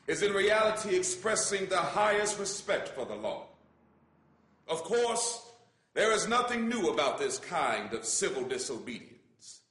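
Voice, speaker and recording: A man gives a formal, measured reading of a written letter as solemn, emphatic oratory. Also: high voice, a bit bright. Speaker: reader. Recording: roomy, echoing sound.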